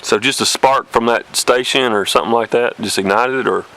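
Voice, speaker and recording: A man's voice: medium pitch, brisk, bright, forceful tone. A man acting as interviewer in a casual conversation, giving brief, curious reactions and questions.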